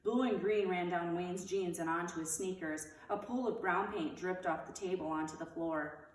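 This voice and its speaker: A woman with a slightly low voice reads a children's story expressively. She is a little distant from the mic, in a room with a clear echo. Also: steady tone, moderate pace. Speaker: read-aloud storyteller.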